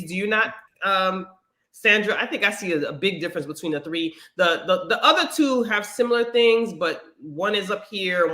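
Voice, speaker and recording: A man with a bright, cutting voice pitched a little high hosts a relaxed political interview. He circles his questions with plenty of ums and uhs. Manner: hesitant, many fillers when asking questions. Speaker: podcast host. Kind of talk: casual interview.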